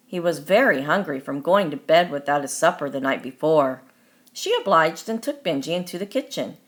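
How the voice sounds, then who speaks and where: A woman reading a children's story aloud as its author, in deliberate, expressive scripted narration. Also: deep voice.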